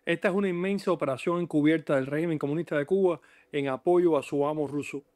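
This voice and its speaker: Higher-pitched man giving dry-sounding political commentary. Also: quick pace, darkish tone, no echo. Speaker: expert guest.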